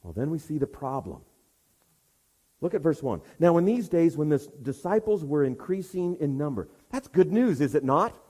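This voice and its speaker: A man preaching an expository sermon in an emphatic manner, with rhetorical questions and deliberate pauses for weight. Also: a little high, sing-song, dark tone, breathy edge.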